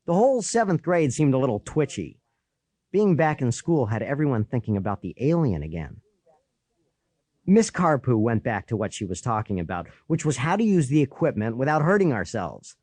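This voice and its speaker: A man reads a children's story as a first-person narrator. His voice sits a little high and swings widely in pitch with boyish animation. The recording is dry and close. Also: a little mellow, dry recording. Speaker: audiobook narrator.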